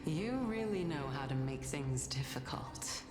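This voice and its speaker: Slow, low-voiced solemn heroine. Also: female voice, expressive. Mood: grave, questioning. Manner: measured, formal. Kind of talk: dramatic video game voice acting. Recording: long hall reverb under music.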